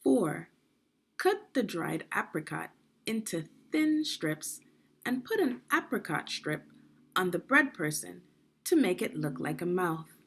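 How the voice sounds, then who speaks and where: A woman with a lowish voice reads instructional text to young children. Her delivery is unhurried and deliberate, with lively rises and falls in pitch. She explains as a teacher does, pointing things out step by step. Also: gravelly, breathy edge. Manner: deliberate, explanatory, checking in with questions. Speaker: reading teacher, narrator of a children's book read-aloud.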